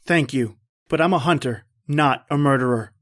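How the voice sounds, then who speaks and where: A man's voice: medium pitch, moderate pace, fairly bright tone, close to an everyday voice. A man as a grave, wary hunter. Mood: appalled. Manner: grave, earnest, dramatic. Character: principled male hunter, wary and appalled guest. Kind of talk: old-time radio drama acting with first-person narration.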